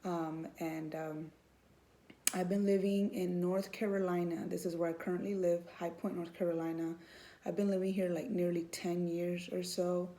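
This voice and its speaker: A woman's voice: fairly low pitch, level tone, normal speed, dark tone. A woman in her thirties from Houston, Texas, giving an earnest personal faith testimony, hesitant with many ums. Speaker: student and mother.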